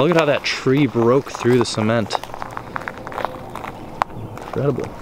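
An American man hosting an exploring vlog, talking casually and curiously to viewers as he walks. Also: a little high. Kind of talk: casual walking commentary to viewers. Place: outdoors in an open overgrown field. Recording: noisy outdoor camera audio.

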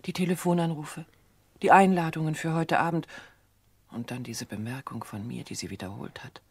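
An actress playing an anxious grown daughter under suspicion in a radio drama, speaking frankly and insistently. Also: female voice, low-pitched, moderate pace, slightly rough, no room sound. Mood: worried.

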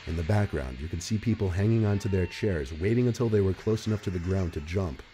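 A man reading scripted narration in a measured, serious manner. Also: sits low, background noise. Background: music.

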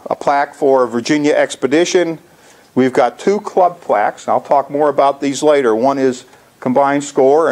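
A man's voice: medium pitch, vocal fry, darkish tone. An American man in his sixties explaining things casually as he presents slides to a club in a lecture hall. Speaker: contest organizer presenting to a club.

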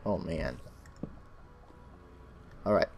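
Chatty man with a crackly, sick voice. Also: extremely slow. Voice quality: extra bass from an allergic reaction. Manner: chatty, animated narration of his own play. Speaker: gaming channel host. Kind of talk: Minecraft let's play commentary.

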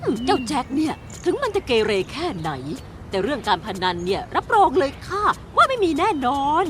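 A woman voicing an excitable, scolding village mother in a cartoon, with animated and theatrical delivery. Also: high-pitched, a little fast, noisy background. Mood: confident. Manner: animated, sing-song exaggeration. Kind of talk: cartoon voice acting.

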